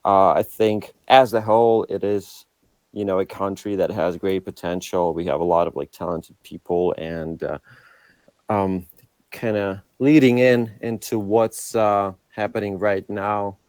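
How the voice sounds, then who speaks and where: A young man from Ukraine with a very deep voice. He answers an interviewer in a loose, casual way, stopping and restarting as he searches for words. His voice sounds dry and close to the mic. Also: steady tone, unhurried, a little mellow, no echo. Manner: hesitant, many fillers and restarts. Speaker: interview guest.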